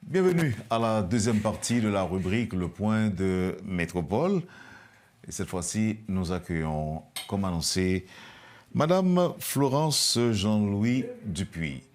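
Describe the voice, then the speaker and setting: Low-voiced Haitian man hosting. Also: expressive, slow, a little mellow. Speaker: television interview host. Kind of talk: broadcast interview.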